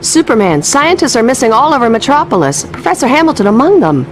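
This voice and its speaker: A woman playing a keen, sardonic newspaper reporter in a video game, brisk and dry. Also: high voice, big pitch swings, faint music behind. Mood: brisk, concerned. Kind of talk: video game cutscene acting.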